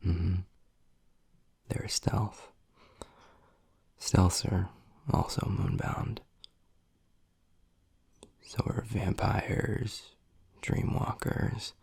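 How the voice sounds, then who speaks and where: A man performs a gruff but tender young male werewolf in a boyfriend audio roleplay. His low voice is extremely husky and breathy, often near a whisper. He speaks earnestly and haltingly, right up on a dry microphone. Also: fairly even intonation, no room sound, full character voice. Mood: casual, explaining. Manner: hushed, slow, earnest, halting. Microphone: close, dry microphone.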